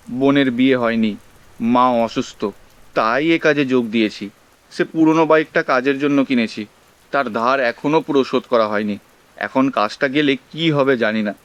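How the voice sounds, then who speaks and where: A man acting as an earnest food delivery rider in his twenties with a clear, resonant voice. He speaks in a polite, pleading way, explaining his troubles as in a radio play. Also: mid-range voice, dull audio, lightly acted. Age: 20s. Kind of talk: audio drama acting.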